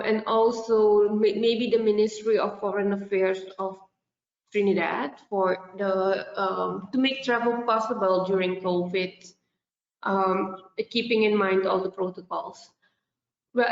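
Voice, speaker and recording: A woman from Suriname, a native Dutch speaker, answering questions in an informal online meeting in short, halting explanatory phrases. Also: medium pitch. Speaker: festival representative answering questions. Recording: echoey room, muffled online-call audio.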